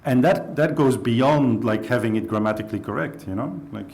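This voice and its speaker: A man from Belgium speaks on a panel in a loose, casual way, with "like" scattered through his sentences. His voice sits at an ordinary middle pitch. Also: moderate pace, vocal fry, a little mellow. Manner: casual, lots of "like" fillers. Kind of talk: panel discussion.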